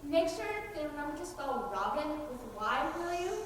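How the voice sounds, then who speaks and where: Heard from a distance in a very reverberant hall, a wry storyteller played by a young woman speaks to the audience. The voice is high, in the manner of amateur stage narration. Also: lively intonation, normal speed. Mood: wry, confiding. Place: high school play on a stage. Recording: echoey hall from far off, faint noise.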